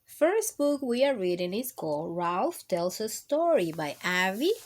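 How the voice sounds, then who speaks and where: A woman hosting storytime, reading a children's picture book aloud in an expressive, deliberate way. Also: mid-range voice, a little hiss or hum. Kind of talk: scripted storybook narration for children.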